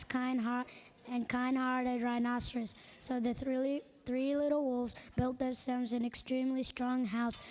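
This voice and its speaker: Female storybook narrator: high, soft-edged mellow voice, level intonation, deliberate reading with small stumbles. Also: low-quality recording. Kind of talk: read-aloud narration for children's theatre.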